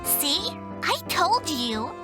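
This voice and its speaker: A woman playing an excitable, mischievous fifteen-year-old girl in an audio drama, bubbly and quick to pout. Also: sits high, music in the background, full character voice. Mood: bratty. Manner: bubbly, pouty, quick to bicker.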